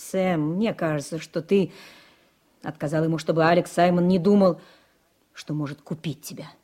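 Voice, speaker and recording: An actress playing a judge's devoted wife, soothing and gently teasing, in a radio play. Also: female voice, low voice, steady tone, moderate pace, lightly acted. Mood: reassuring. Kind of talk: Russian radio play acting.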